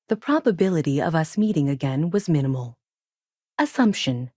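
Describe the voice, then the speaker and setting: A sentient space probe intelligence for a video game. The voice is low and dry, close and free of room sound. It speaks in clipped, literal, robotic phrases, announcing each statement like a label, with an earnest, childlike logic. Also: female voice, darkish tone, no room sound. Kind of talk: scripted video game dialogue.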